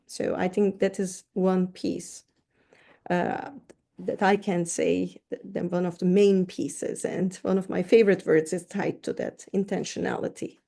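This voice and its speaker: A woman's voice: mid-range voice, gravelly, warm tone. A middle-aged woman from Turkey, a counseling professor answering interview questions in a thoughtful way, often restarting words.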